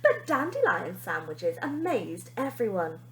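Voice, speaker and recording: A woman reading a children's storybook aloud as a teacher would, with expressive, emphatic storytelling. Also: medium pitch, measured pace, roomy sound, a little hiss or hum.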